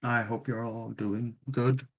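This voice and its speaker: A man with a Swedish accent, native Swedish, chatting slowly and hesitantly on a crafting live stream, with frequent restarts. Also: mid-range voice, level tone, a bit bright. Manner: slow, hesitant, rambling with many restarts. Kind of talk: casual live-stream chat while crafting. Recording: dull lo-fi stream audio.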